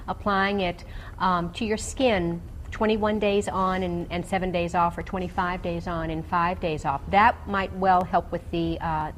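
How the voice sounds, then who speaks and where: Woman doctor advising, over clear background noise. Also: medium pitch. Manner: explanatory, technical, hesitant pauses. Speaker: doctor answering callers on a health show. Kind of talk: radio call-in medical advice.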